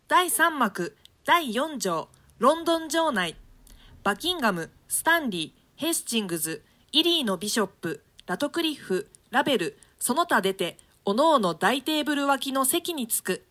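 A woman reading the stage directions of a classic play aloud in a plain, measured way. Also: sits high, big pitch swings, bright, forceful tone. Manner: plain, measured reading of old-fashioned text. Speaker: solo reader of a translated play.

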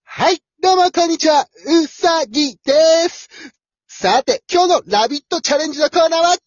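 An overconfident young male hare from a comic radio play, played by a woman. The voice sits high and moves in lively swings, with a showy, exclamatory way of talking. The recording is dry and a little muffled. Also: a little fast, no echo. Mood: peppy, showy. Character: cocky young male hare, boastful streamer. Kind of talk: amateur comic radio drama acting. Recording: dull, lo-fi recording.

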